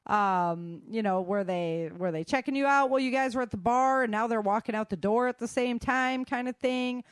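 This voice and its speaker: A woman's voice: on the high side, moderate pace, smooth, dry recording. Frank woman giving casual advice, close dry sound. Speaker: self-defense instructor and guest.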